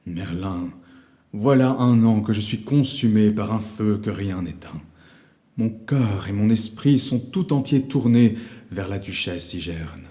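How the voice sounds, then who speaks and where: A man's voice: fairly low pitch, lively intonation, measured pace, warm tone. A man voicing a grave, lovesick king in an audio storybook, with solemn, emphatic and formal speech. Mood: anguished pleading.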